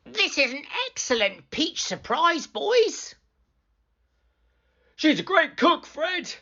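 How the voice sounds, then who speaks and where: A woman's voice: high-pitched, big pitch swings, slow pace, pushed and bright, muffled recording, voice pushed up, exaggerated voice. A woman voicing brash, greedy scavenging seagulls for a storybook, squawky and taunting. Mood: gleeful.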